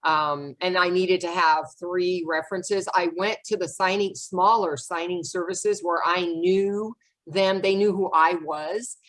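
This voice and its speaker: A woman's voice: lowish voice, fairly even intonation, unhurried, a bit bright, poor audio. A woman hosting a notary question-and-answer session over a video call, friendly and encouraging. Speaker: host of a notary Q&A webinar. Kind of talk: casual moderating on a video call.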